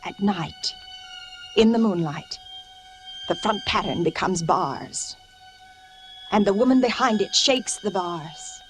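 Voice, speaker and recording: Anxious young wife slowly going mad, old radio suspense, very swoopy voice, music behind. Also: female voice, mid-range voice, wildly swooping pitch. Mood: obsessed. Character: anxious, secretive young wife and mother slowly losing her mind. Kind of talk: vintage suspense radio drama acting, first-person narration.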